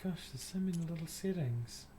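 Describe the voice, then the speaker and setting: A man with a New Zealand accent streaming a game, chatting softly and hesitantly with many fillers and corny jokes. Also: a little high, normal speed, slight background noise. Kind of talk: casual gaming livestream chat. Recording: loud game music behind.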